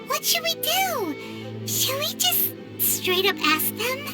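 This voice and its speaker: Game fairy sidekick: childlike, curious, very animated, unhurried delivery. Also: female voice, full character voice. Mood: eager. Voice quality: squeaky, childlike. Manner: bubbly, curious, exclamatory. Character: small excitable childlike fairy companion, speaks in third person. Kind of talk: video game voice acting. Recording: loud music behind the voice.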